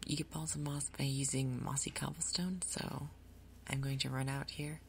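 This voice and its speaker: A woman hosting a gaming video in a soft, breathy ASMR whisper, explaining things gently and without hurry. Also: very deep voice, level tone, normal speed, very noisy. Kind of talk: whispered ASMR game commentary.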